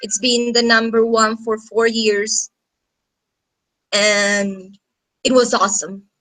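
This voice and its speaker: A Colombian-accented woman in her thirties with a high, bright voice tells a class about herself as a guest teacher. She takes her time, speaking informally as a native Spanish speaker. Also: flat delivery, slow pace, poor audio. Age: 30s. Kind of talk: informal self-introduction to a class. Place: video call.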